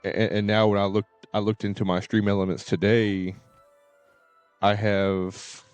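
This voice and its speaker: A man's voice: fairly low pitch, flat delivery, measured pace, a little mellow, smooth, no room sound. A man chatting casually on a livestream, informal and rambling, with repeated filler phrases and some cursing. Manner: informal, mildly cursing, repetitive "you know". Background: faint game music and dialogue.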